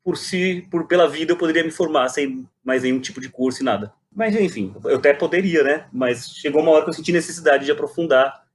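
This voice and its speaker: A man's voice: fairly high pitch, fast talker, few pauses, a little hiss or hum. A man, telling his story reflectively and animatedly in a conversational interview. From Brazil. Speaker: guest, playwright and poet.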